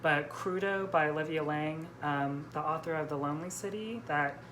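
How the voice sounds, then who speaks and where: A woman's voice: sits low, normal speed, fairly bright tone. A young woman in a casual book chat with a friend, hosting in a hesitant, self-correcting way. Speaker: book vlogger, host reading out questions. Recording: clearly noisy room recording.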